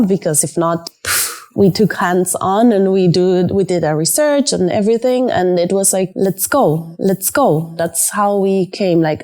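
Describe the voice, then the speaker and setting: A woman's voice: medium pitch, dark tone. A young woman entrepreneur answering documentary interview questions in a chatty, enthusiastic way with many fillers.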